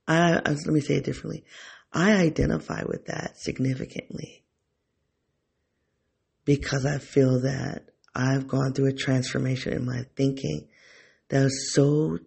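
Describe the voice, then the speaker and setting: A man's voice: medium pitch, vocal fry, slightly rough. A man thinking aloud in a reflective solo monologue, often rephrasing himself and talking straight to his listeners. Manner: talks directly to listeners, informal.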